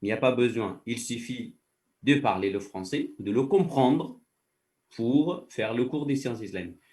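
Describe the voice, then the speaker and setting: A man of middling pitch answers interview questions at length, patiently laying out his points through first-person examples. His voice sits a little away from the microphone, so it sounds somewhat distant. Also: animated, normal speed, darkish tone. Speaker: faculty director, guest.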